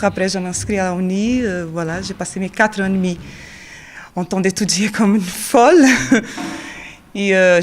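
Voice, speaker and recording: Brazilian woman speaking halting French. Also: medium pitch, slight background noise. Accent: Brazilian Portuguese. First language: Portuguese. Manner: searching non-native French, frequent fillers and quick laughs. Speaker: drama teacher and actress. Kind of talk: interview answers.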